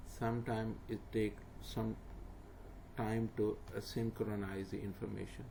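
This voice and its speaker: A man's voice: lowish voice, flat delivery, measured pace, gravelly, dark tone. A man talks through an online form step by step, plainly and instructively, with frequent fillers. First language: not English. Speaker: tutor. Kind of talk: screen-recorded walkthrough. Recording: noisy home recording.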